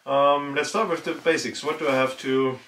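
A man's voice: medium pitch, moderate pace. Upbeat man vlogging on noisy ski hills. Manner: upbeat, laughs often, excitable. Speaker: vlog host, cross-country skier. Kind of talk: casual vlog chat. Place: outdoors on snowy ski hills and in a changing room. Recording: noisy outdoor camera sound.

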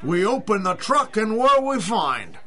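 An actor playing an indignant working-class food hauler who blusters and complains in a radio drama. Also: male voice, sits high, big pitch swings, moderate pace, a bit bright. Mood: exasperated. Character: indignant working-class male produce business owner. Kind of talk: 1930s-style radio drama acting.

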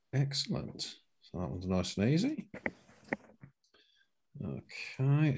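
Guy running a work video call, really deep voice, slow and kinda halting. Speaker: meeting chair. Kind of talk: work meeting talk. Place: small home room, video call.

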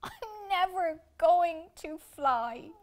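Storybook young penguin, played by a woman: high, animated, hopeful, exclaiming with excitement. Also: drawn-out words, full character voice. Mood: sad. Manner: exclamatory, squealing when excited.

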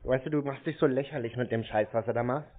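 A man's voice: medium pitch, quick pace, a little hiss or hum. A man chatting casually and confrontationally on a phone line, taunting and mocking the other person. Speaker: scam baiter on a prank call. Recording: narrow, tinny phone line.

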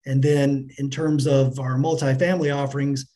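A middle-aged man answering business interview questions as a company finance chief, in a measured, businesslike manner. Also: mid-range voice, flat delivery, warm tone. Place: indoors on a remote video call.

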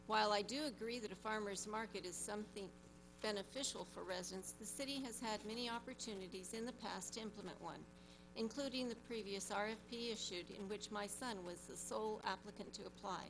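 A woman's voice: medium pitch, a little fast, noisy background. Indignant woman at public comment. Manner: confrontational, indignant. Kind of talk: public comment at a council meeting. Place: council chamber audience seating. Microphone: standing microphone at her seat.

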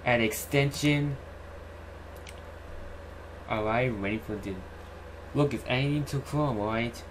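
A young man giving a casual screen-recorded tutorial in a halting, repetitive way. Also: mid-range voice. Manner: halting, repetitive, says "alright" often. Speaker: YouTuber host. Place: indoor room at home. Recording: clearly noisy home recording, keyboard typing.

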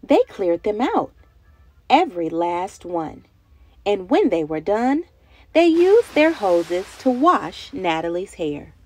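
Animated woman reading a children's picture book. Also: mid-range voice. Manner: dramatic, emphatic delivery with drawn-out words. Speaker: children's read-aloud storyteller. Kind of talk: expressive picture-book narration for young children. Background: music bed.

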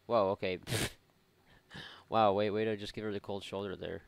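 A man's voice: fairly low pitch, flat delivery, normal speed, vocal fry, clean tone. A man doing casual, irreverent let's play commentary, mocking and thinking aloud. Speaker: gaming YouTuber.

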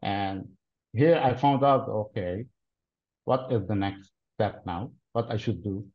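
A man in his thirties from Lebanon, answering interview questions in a deliberate, explanatory way with frequent pauses, heard over a video call. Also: lowish voice. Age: 30s. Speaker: interview guest, cloud solutions architect. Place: plain-walled room, indoors. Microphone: video call webcam. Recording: dull lo-fi video call audio.

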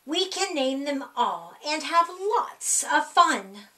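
A middle-aged woman reading a rhyming children's picture book aloud in an animated, sing-song, deliberate way for young kids. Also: high voice. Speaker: library storytime reader. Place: small indoor room.

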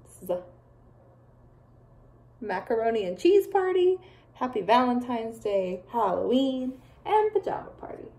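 A young woman teacher reading a picture book aloud to children, expressive and full of playful asides. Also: on the high side, unhurried, long pauses. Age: young adult.

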